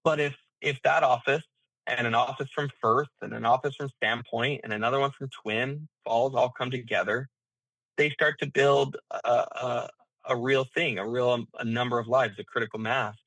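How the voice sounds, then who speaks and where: A man speaking as an interview guest, explaining his business in a halting, thinking-aloud way with repeated words. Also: medium pitch, fairly even intonation, fairly bright tone, no echo, rough recording. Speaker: interview guest, healthcare management founder.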